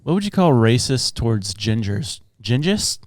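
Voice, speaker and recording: A man's voice: mid-range voice, sing-song, moderate pace, a little mellow, no room sound, clean studio sound. A young man co-hosting a comedy podcast, joking around casually and breaking into goofy singing and accents. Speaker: podcast co-host.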